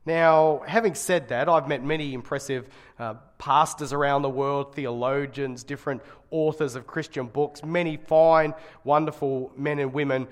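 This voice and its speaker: A middle-aged man with a slightly raspy voice giving a conversational sermon, speaking earnestly and stressing key phrases. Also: a little high, steady tone, normal speed, few pauses. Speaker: guest preacher and Bible college teacher.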